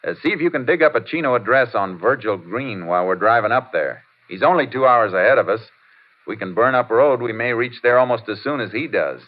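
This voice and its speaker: An American man acting a steady, laconic Texas Ranger in an old radio drama, courteous but determined, with clipped matter-of-fact delivery. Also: medium pitch, fairly quick, lightly acted. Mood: brisk. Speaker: lead actor and narrator. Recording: muffled old radio recording with music.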